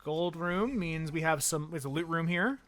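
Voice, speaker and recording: Bright-voiced man casually commenting on a game. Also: fairly high pitch, moderate pace. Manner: relaxed, wry, thinking aloud. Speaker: streamer. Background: game music.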